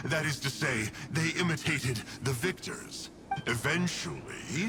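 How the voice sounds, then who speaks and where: Smug, animated game villain. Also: male voice, a little high. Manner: mocking, flippant, drawn-out teasing delivery. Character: smug young male villain, theatrical, taunting schemer. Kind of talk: video game voice acting.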